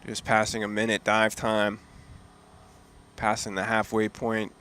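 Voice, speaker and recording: A man calmly commentating on a live freediving competition, admiring and easygoing, with frequent filler pauses. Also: lowish voice, a little hiss or hum. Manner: relaxed, admiring, many fillers. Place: outdoors at a seaside dive event.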